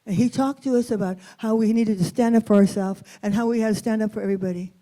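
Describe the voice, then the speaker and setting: A woman's voice: medium pitch, fairly even intonation, quick pace, warm tone, rough recording. A woman storyteller from East Los Angeles, with an accent from there, telling a personal story expressively, weaving in Spanish words and translating them.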